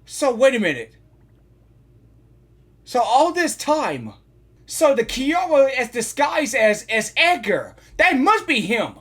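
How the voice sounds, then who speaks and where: Female game reactor: husky, slightly high, excitable, thinks aloud in casual commentary. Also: slow, long pauses. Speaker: gaming reaction host.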